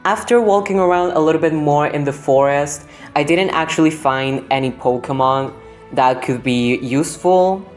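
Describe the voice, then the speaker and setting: A woman's voice: deep voice, normal speed. A young woman, a native Spanish speaker with a Spanish accent, narrating gameplay in a scripted voice-over as the host. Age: young adult. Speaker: gaming video host. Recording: background music under the voice. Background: music.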